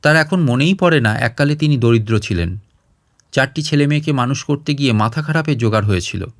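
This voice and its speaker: A man's voice: mid-range voice, lively intonation, brisk, no room sound, studio quality. A man reading a literary short story aloud as a storyteller, in audiobook style.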